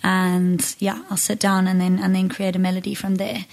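A woman's voice: medium pitch, level tone, moderate pace, creaky, darkish tone. A young woman from Zimbabwe, a singer-songwriter, talking casually in an interview, thoughtful and full of ums and restarts.

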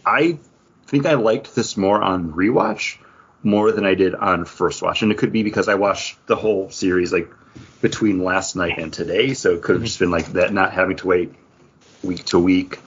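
A man's voice: deep voice, moderate pace, husky. A man co-hosting a podcast, chatting casually about film and TV over an internet voice call. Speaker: podcast co-host. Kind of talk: casual movie and TV review chat. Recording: muffled, dull voice-call audio.

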